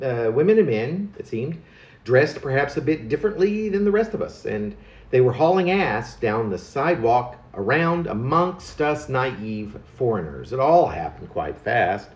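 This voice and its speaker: A man's voice: fairly high pitch, darkish tone, roomy sound. Man reading reflectively with swooping intonation. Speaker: poet reading own work. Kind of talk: reflective personal poem reading.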